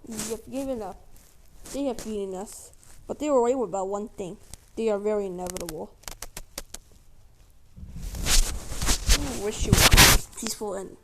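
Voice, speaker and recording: A woman delivering a mock emergency broadcast announcement in a halting way, repeating words. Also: mid-range voice, noisy background. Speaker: narrator of a mock emergency alert.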